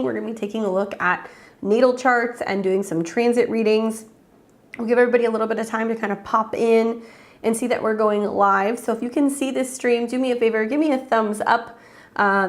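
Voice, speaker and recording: Congested woman hosting a live stream. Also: on the high side. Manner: chatty, greets viewers by name, frequent ums and uhs. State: congested with a cold. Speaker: astrologer hosting live readings. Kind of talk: casual livestream chat.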